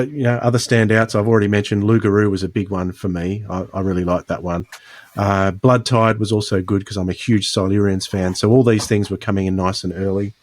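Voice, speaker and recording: A man with a slightly low voice chatting casually as a podcast host. He talks in a relaxed, halting, conversational way, recorded close with a dry sound. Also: no room sound. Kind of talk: casual chat, fan discussion.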